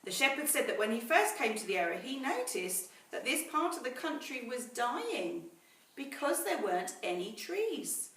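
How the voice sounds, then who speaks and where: A woman reading a story aloud to children in a teacherly way, pausing to explain words and ask questions. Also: a little high, sing-song, fairly bright tone. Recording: roomy echo, distant mic, faint noise.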